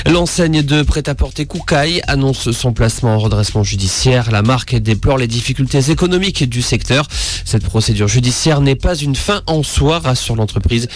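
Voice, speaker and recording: Male newsreader, mid-pitched, scripted bulletin delivery. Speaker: radio newsreader.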